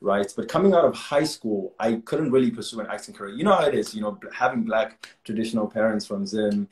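Zimbabwean-born man with a low voice. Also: fast talker, roomy sound. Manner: earnest, chatty, laughs often. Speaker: actor guest. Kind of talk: casual interview over video call. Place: small room indoors at home. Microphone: video call.

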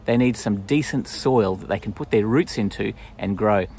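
A man in his forties with an Australian accent and a husky voice, giving a simple explanatory nature talk for children outdoors. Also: fairly low pitch, moderate pace, a little mellow. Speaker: pastor presenting a children's story. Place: outdoors in bushland.

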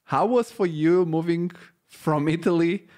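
A man in his thirties, born in Bulgaria, hosts a podcast interview from a small home studio. He speaks into a studio boom mic in a friendly, halting way, full of fillers. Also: darkish tone. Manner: friendly, hesitant, many fillers. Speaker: podcast host. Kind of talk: casual interview. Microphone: studio boom mic, headphones.

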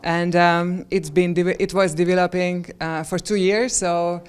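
A woman's voice: fairly low pitch, fairly even intonation, unhurried, clear, resonant tone. A woman in her forties from Hungary, answering interview questions with many ums and restarts, recorded on a handheld mic indoors. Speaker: interviewee, project member. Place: stone-walled room, indoors.

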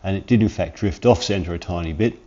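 A man in his forties with a cold and sniffles, chatting through a hands-on workshop tutorial in a relaxed, rambling way from his home garage. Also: sits low, quick pace, highs cut off. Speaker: workshop channel host.

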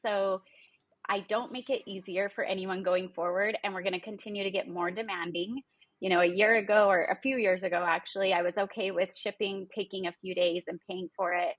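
A woman's voice: medium pitch, fairly even intonation, brisk, dry recording, dull audio. A woman in her thirties giving explanatory, businesslike interview answers as a guest on a video call. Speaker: interview guest, logistics partnerships executive. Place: indoor room, video call. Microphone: video call, close framing.

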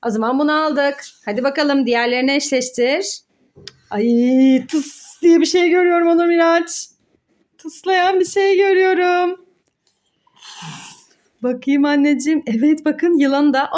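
A woman's voice: high-pitched, darkish tone. A woman talking as a mother hosting a children's play video, encouraging and full of questions and exclamations. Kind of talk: playful educational chat with child and viewers.

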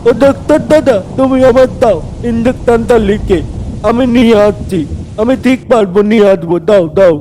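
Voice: medium pitch, moderate pace, dark tone, a little hiss or hum, close to an everyday voice, poor audio. An actor voicing a simple-minded, kindly young village man in a cartoon, stammering and repeating words eagerly. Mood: urgent, determined. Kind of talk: cartoon dialogue. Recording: background music over voice.

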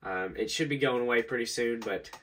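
A young man with a nasal, stuffy voice from allergies, chatting casually through a show-and-tell of his collection, relaxed and rambling with lots of ums. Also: medium pitch, fairly quick, a little hiss or hum. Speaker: knife collector vlogger. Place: indoors at a table.

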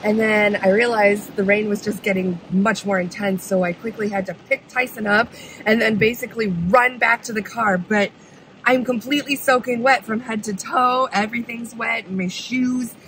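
Woman in her 30s vlogging in her car, chatty and laughy, loud rain noise all around. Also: on the high side, fairly even intonation, normal speed, low-quality recording. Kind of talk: casual vlog chat. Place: inside a car in heavy rain. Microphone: camera at arm's length. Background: rain drumming on the car.

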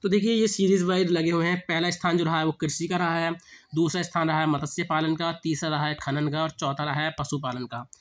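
A man's voice: fairly high pitch, flat delivery, fairly quick. A young man with a sore throat explains quiz answers over slides, asking a question and then repeating the answer. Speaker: exam prep teacher. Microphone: screen-recording voice-over.

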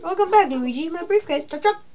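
Old male inventor, cackling, a woman reading his lines in a high voice. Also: lively intonation, brisk, darkish tone. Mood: chipper. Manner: reading on-screen dialogue aloud.

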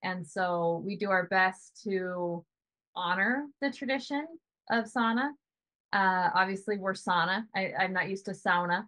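A woman's voice: mid-range voice, measured pace, a bit bright. A woman in her thirties, a sauna business owner, chatting casually on a video call from home, often tagging "right?" onto her points. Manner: chatty, hedging with "you know" and "right?".

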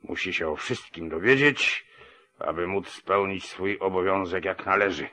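A weary sheriff in an old 1940s radio drama. His voice is low and bright with a forceful edge, and he declaims while dodging responsibility. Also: a little slow. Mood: grave, resigned. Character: evasive, weary southern small-town sheriff.

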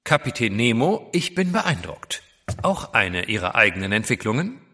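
An actor playing a courteous gentleman adventurer in an audio drama, speaking politely and formally. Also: fairly low pitch, sing-song, moderate pace, big-room sound, slight background noise. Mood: impressed. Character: courteous gentleman adventurer, devoted husband, keen observer. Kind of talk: German audio drama acting.

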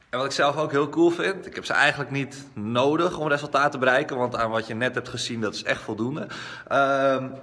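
A young man with a Netherlandic Dutch accent talks to his phone like a trainer showing people around. His voice is bright and clear-cut, and he explains things in a conversational way. The small indoor rooms add a long, ringing reverb. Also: mid-range voice. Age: young adult. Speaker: personal trainer and gym owner. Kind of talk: informal vlog tour to camera. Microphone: phone at arm's length.

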